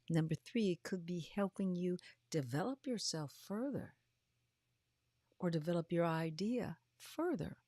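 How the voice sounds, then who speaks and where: A woman in her fifties or older giving a motivational talk as a host, with emphatic, drawn-out delivery that often drops into breathy, hushed phrases. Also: fairly low pitch, no echo, clean studio sound. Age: 50s or older. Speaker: podcast host and coach. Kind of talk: motivational livestream talk to followers.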